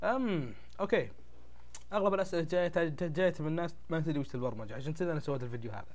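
Very fast-talking young Gulf Arabic man, congested. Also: fairly high pitch, lively intonation, a little mellow. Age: young adult. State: congested, changed voice from a tired throat. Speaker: YouTube tech channel host. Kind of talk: casual explainer talk to camera. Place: small indoor room at a desk, webcam recording.

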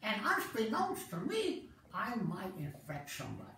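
A woman voicing an anxious, earnest nerdy character who lectures in slow, rhyming verse at an outdoor festival. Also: creaky, slight background noise, heavily acted. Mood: earnest lecturing. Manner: slow, earnest, lecturing, lively pitch swings. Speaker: festival performer. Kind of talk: comic stage monologue with rhyming verse. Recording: echoey stage sound from a distant mic.

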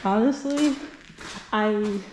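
A young woman vlogging casually as she explores an old fort, excitable and laughing often. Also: medium pitch, takes it slowly, a little hiss or hum. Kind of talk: casual chat while exploring.

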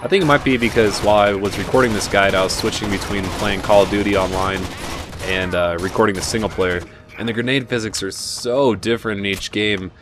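A man giving relaxed, chatty commentary over a video game playthrough, with frequent asides and fillers. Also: on the low side, brisk, background noise. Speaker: game guide narrator. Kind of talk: casual gameplay commentary. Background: game music and gunfire.

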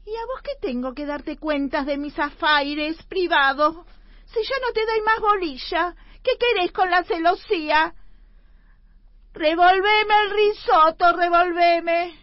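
Woman playing a very high-voiced brazen Argentine wife. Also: slow pace, no room sound, highs cut off. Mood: defiant. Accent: Rioplatense Argentine. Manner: brazen, theatrical. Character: bold, defiant wife in a Buenos Aires tenement. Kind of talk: comedic radio theatre acting.

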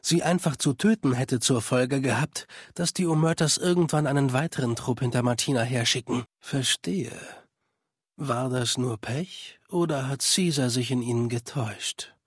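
Video game spymaster, male actor: cold, measured, superior, mid-pitched with a husky edge, dry close sound. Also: dry recording, lightly acted. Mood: businesslike, then stern, condescending. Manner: formal, measured, condescending. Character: cold, calculating male spymaster of a slaver legion. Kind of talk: dubbed video game dialogue.

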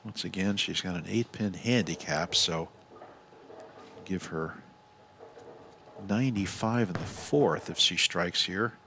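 A man doing live bowling commentary, giving measured analysis with excited calls on shots. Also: low voice, slight background noise. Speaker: sports commentator.

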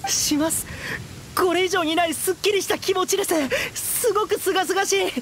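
A woman's voice: very high-pitched, steady tone, normal speed, a bit bright, a little hiss or hum. A woman playing a timid, polite young commoner with a hesitant, earnest manner, in anime game style. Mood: relieved.

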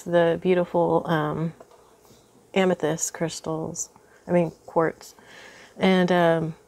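A woman's voice: fairly low pitch, a little mellow. Congested woman chatting over beading. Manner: chatty, thinks aloud with many ums. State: congested from allergies. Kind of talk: casual crafting tutorial. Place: indoors at a craft table.

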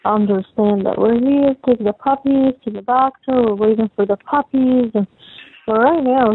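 A young adult woman chatting casually on a phone call, talking in a halting, rambling, repetitive way. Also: mid-range voice. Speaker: caller talking with partner. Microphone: telephone call. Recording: tinny phone line with faint noise.

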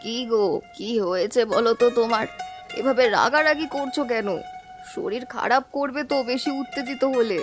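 A woman's voice: a little high, moderate pace, voice pushed down, natural voice. Woman acting soothing older cartoon wife, music behind. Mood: soothing, concerned. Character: caring, soothing older village wife and mother.

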